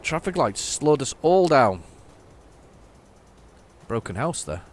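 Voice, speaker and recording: A man's voice: medium pitch, very animated, slow pace, slight background noise. A man giving casual, rambling gameplay commentary, often correcting himself. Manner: rambling, self-correcting, chatty. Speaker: gaming channel host.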